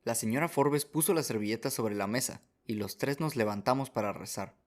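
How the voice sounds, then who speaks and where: A man's voice: mid-range voice, brisk. A man reading scripted first-person story narration as the narrator of a radio drama.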